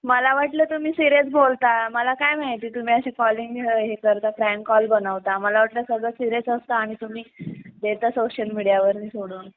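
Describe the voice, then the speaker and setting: A woman's voice: fairly high pitch, fairly even intonation, moderate pace. A woman on a phone call, mildly reproachful and a little evasive as she makes her complaint. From Badlapur, Mumbai area, India. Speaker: caller. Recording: narrow tinny phone-line sound.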